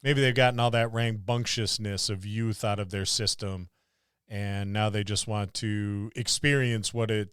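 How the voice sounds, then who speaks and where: A man's voice: fairly low pitch, steady tone, a little slow, fairly bright tone, smooth, no echo, studio quality. A man co-hosting a podcast, musing aloud in a casual discussion about tabletop gaming. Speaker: podcast co-host.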